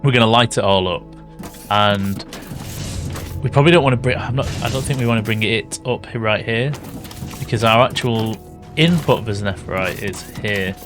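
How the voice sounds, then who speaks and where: A British man with a slightly raspy voice, thinking aloud and correcting himself as he casually commentates a building game. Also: lowish voice, moderate pace, over music. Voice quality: slightly raspy from early morning. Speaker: gaming YouTuber. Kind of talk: casual let's-play commentary.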